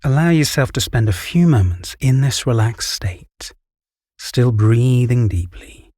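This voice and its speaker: A man guiding a relaxation meditation, giving calm, soothing, measured instructions. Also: medium pitch, no echo, studio quality. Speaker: meditation guide. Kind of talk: guided relaxation meditation, scripted narration.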